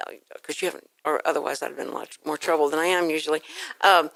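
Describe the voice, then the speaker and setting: A woman's voice: deep voice, fairly even intonation, fast talker, gravelly, a bit bright, dry recording. A woman hosting a casual radio interview in a studio, chatty and playfully self-deprecating, rambling through her questions close to the mic. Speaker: radio show host.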